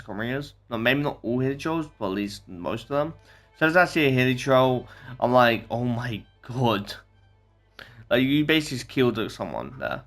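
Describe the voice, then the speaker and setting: A man's voice: medium pitch, a bit bright. A man streaming a game with casual, chatty commentary. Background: faint game music.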